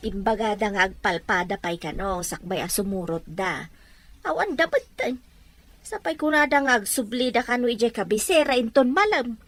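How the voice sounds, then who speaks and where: A woman's voice: fairly high pitch, expressive, normal speed. A woman playing a practical, exasperated rural mother in a family radio drama, scolding and questioning. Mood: resigned explaining.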